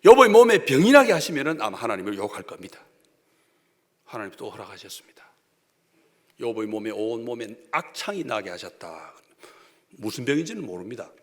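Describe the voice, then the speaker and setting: A male pastor with a Gyeongsang accent giving an emphatic sermon, swinging between loud declarations and hushed asides. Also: mid-range voice, sing-song, fairly quick, long pauses. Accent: Gyeongsang Korean. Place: church sanctuary. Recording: long church hall reverb.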